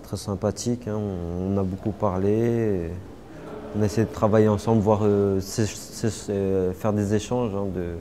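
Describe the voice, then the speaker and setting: A man's voice: on the low side, fairly even intonation, normal speed. Man, young adult, hesitant interview talk, faint background hiss. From Paris suburbs, France. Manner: hesitant, many fillers and restarts. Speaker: interviewee, martial artist. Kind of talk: casual interview answers.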